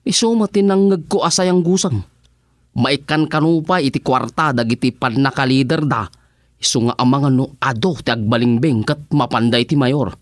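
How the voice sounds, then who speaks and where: A man's voice: mid-range voice, moderate pace, dry recording, clean studio sound, natural voice. A man with an Ilocano Filipino accent playing a loyal, money-minded village councilor in a radio comedy-drama. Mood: eager.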